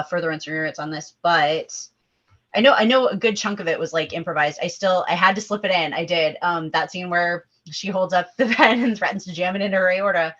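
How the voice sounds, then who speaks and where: A woman hosting a casual film discussion, talking in an animated, opinionated way with rambling asides. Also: fairly low pitch, lo-fi sound. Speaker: host leading a table-read discussion.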